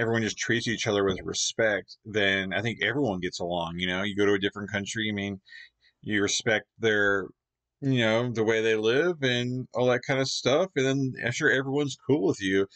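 A man's voice: mid-range voice, moderate pace, a bit bright. A man in his thirties from Canada, hosting a reaction video from his home gaming room and rambling casually with lots of fillers. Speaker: reaction channel host.

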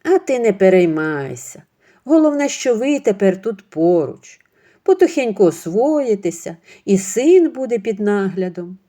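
A woman's voice: medium pitch, very animated, measured pace, dark tone, voice pushed up. A woman voicing a gossipy, chatty older female friend of the mother-in-law. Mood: reassuring.

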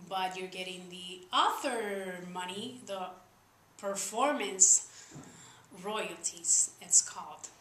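Bright-voiced Spanish-accented young woman vlogging. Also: medium pitch, roomy sound, a little hiss or hum, rough recording. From Spain. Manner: chatty and earnest, slightly uneven English phrasing. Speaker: singer-songwriter vlogger. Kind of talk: casual talking-to-camera vlog. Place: small room indoors.

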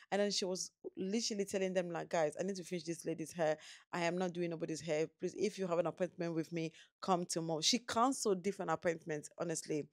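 A woman's voice: on the low side, dry recording. Chatty woman vlogger, dry close sound. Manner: chatty, repeats words and restarts, quotes people in bursts. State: tired after no sleep. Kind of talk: casual vlog recap.

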